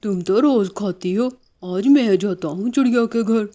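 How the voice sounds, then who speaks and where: A woman's voice: mid-range voice, moderate pace, warm tone, close to an everyday voice. A woman voicing a grumbling, gullible crow husband in a cartoon story. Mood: scheming.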